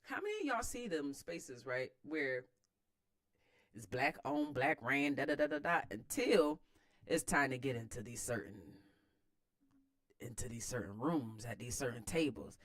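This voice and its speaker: An American woman reading a book aloud and adding informal, slangy commentary in an expressive manner. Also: a bass voice, unhurried, no echo, studio quality. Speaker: host of a read-aloud series. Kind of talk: book read-aloud with casual running commentary.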